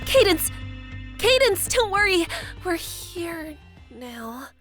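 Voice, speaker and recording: A woman's voice: high voice, unhurried. A woman voicing an earnest, anxious young female cartoon pony leader, serious and urgent. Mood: desperate, calling out. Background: music.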